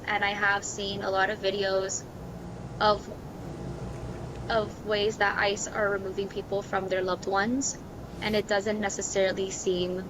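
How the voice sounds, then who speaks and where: A woman's voice: medium pitch, noisy background. A woman with an American accent talking casually as a podcast co-host. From Miami, Florida. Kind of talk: casual chat.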